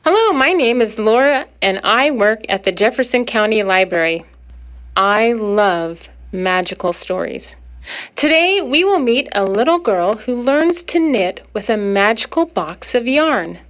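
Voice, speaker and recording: A woman's voice: fairly high pitch, lively intonation, a little slow. A woman librarian reading a children's storybook aloud in simple phrasing meant for young listeners. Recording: loud music behind the voice. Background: music.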